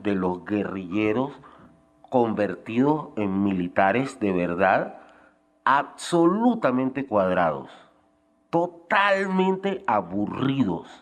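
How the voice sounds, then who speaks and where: A man, giving opinionated testimony as an interviewee, emphatic and blunt in his wording. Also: lowish voice. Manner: emphatic, piling up blunt adjectives.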